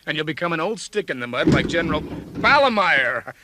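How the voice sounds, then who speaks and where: A man's voice: on the high side, sing-song, normal speed, noisy background. A man playing an easygoing military colonel in an audio drama, teasing and informal with a wry manner.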